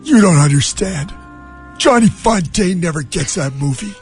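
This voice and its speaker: High-pitched, blustering movie mogul. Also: male voice, expressive, soft background music. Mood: defiant. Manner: emphatic, drawn-out ranting. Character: blustering, indignant middle-aged movie mogul with a grudge. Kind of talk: comic impression of a film scene.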